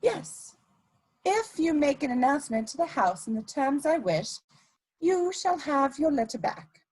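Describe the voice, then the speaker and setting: A woman acts out an elegant society schemer in a period stage drama. Her voice is high and swoops expressively, with deliberate, imperious phrasing that stays coolly ruthless. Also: sing-song, darkish tone, low-quality recording. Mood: imperious, threatening.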